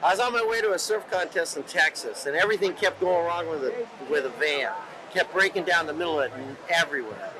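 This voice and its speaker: Man rushing a funny road story. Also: on the high side, expressive, a crowd in the background. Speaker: interviewee. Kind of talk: anecdote. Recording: noisy outdoor recording.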